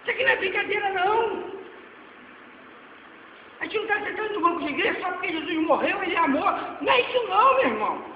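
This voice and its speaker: A man in his forties with a Brazilian Portuguese accent, preaching a sermon that swings between loud exhortation and quiet asides. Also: extremely high pitch, creaky. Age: 40s. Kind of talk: sermon with slides. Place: church hall. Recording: echoey hall, dull lo-fi sound, faint noise.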